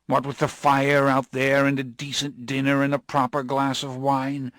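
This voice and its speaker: A man voicing a desperate, drunken gentleman, pleading and bursting out in frantic, high-pitched cries. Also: animated, normal speed, creaky, no echo, studio quality, heavily acted. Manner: pleading, rising to frantic outbursts.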